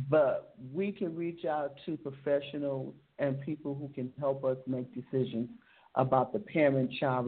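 A woman's voice: rumbling low, slight background noise. A woman hosting a radio interview, upbeat and encouraging, often repeating her words. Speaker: radio show host. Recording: tinny phone-like sound.

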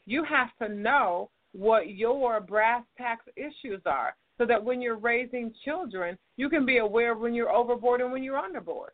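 A woman's voice: fairly high pitch, moderate pace, few pauses, no echo. A woman hosting an advice talk show, a counselor who speaks frankly and teaches through examples. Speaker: host and counselor. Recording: phone-like narrow audio.

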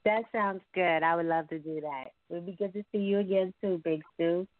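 A woman's voice: on the low side, fairly even intonation, a little fast, dry recording. Woman guest on a thin phone line. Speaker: singer being interviewed as guest. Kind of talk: casual interview chat.

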